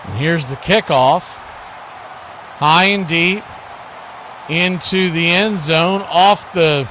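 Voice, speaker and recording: A man hosting a live football watch party, switching between play-by-play and casual chat. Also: fairly high pitch. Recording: noisy feed with steady background noise.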